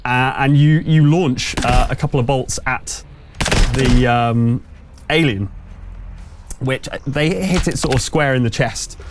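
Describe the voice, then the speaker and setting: A man running a tabletop game as game master, narrating and refereeing conversationally, pausing as he thinks aloud. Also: medium pitch, background noise.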